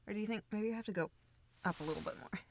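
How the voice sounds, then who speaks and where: A woman playing a playful, teasing young woman in an intimate roleplay audio, talking to the listener as a close, affectionate friend in halting, natural phrases. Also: medium pitch, a little hiss or hum, close to an everyday voice.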